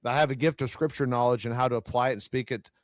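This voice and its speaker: A man with a self-described growly, loud voice, speaking earnestly in a casual faith conversation and often repeating words as he searches for them. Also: mid-range voice, steady tone, fast talker, no echo.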